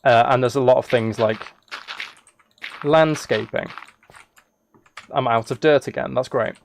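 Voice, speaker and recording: A man's voice: medium pitch, measured pace. A man chatting casually to viewers as he livestreams a game, playful and teasing, drifting into rambling asides. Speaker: livestreamer and host. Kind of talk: casual livestream chat while playing a video game. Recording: clear noise with key clicks and typing. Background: keyboard typing and clicks.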